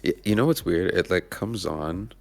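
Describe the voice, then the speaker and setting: A man from Nigeria talks as a podcast guest in a very deep voice with a gravelly fry. He is relaxed and reflective and thinks out loud. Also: normal speed, soft background music. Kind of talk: casual chat.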